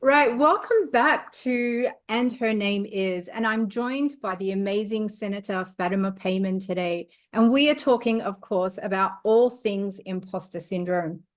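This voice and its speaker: A woman's voice: medium pitch, measured pace, few pauses. Enthusiastic woman host, upbeat, mid voice. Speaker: podcast host. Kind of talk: hosting an interview.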